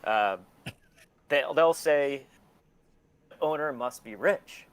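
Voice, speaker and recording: Man, forties, mid-pitched voice, candid interview guest, blunt, opinionated, foul-mouthed. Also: lively intonation, very slow, smooth. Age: 40s. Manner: blunt, heavily sweary, opinionated. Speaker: interview guest, record label and museum owner. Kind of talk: casual candid conversation.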